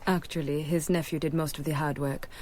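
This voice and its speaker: A woman's voice: deep voice, little pitch movement, fairly quick, a little mellow, lightly acted. An actress playing a worldly female snake dancer in a radio drama, dry, teasing and matter-of-fact. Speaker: circus performer.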